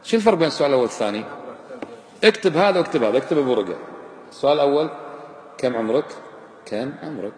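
Fast-talking male preacher in an echoing hall. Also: mid-range voice, animated. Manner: didactic, emphatic, with rhetorical questions and repetition. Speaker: Islamic preacher and teacher. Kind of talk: informal religious lesson, mixing formal and colloquial Arabic. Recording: echoey hall with long reverb.

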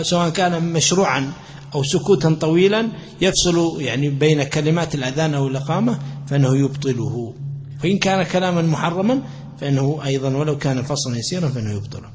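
A man with a fairly high voice, the teacher in a religious study circle going through an old text, saying key phrases twice over. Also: a little hiss or hum. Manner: repeats phrases for emphasis. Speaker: religious teacher of Islamic law. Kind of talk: explanatory lecture on a classical text.

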